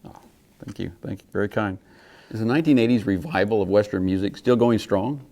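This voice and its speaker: A man hosting an interview podcast, asking measured, courteous questions. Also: fairly low pitch, darkish tone.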